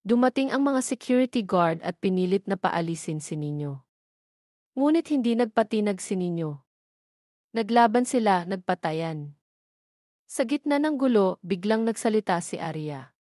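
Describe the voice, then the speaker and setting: A woman's voice: mid-range voice, animated, normal speed, a little mellow, no room sound, studio quality. A woman narrator reading a scripted, dramatic romance story.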